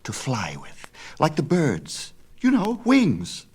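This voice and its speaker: A man voicing an eager, headstrong youth, pitched up. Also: slow, husky, exaggerated voice. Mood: excited, persuasive. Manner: insistent, persuasive, excitable. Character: eager, headstrong young son pleading with his father. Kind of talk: dramatic storybook reading.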